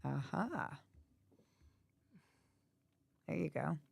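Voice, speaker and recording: A woman with a raspy, allergy-hoarse voice chatting casually while watching hockey at home. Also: deep voice, sing-song, very slow, a little mellow, dry recording. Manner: chatty, asks many questions. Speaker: livestream host.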